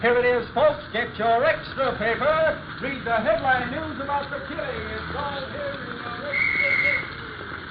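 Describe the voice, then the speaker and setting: Really high voiced newsboy hawking papers, brash street crier, noisy old radio sound. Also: male voice, expressive, unhurried, full character voice. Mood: urgent hawking. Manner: shouting sales patter. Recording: noisy, muffled vintage radio recording.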